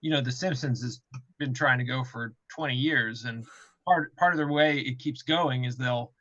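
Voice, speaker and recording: A man's voice: mid-range voice, normal speed, a bit bright, no echo, muffled recording. A man in a casual panel discussion as a game developer, explaining things by thinking aloud and repeating himself.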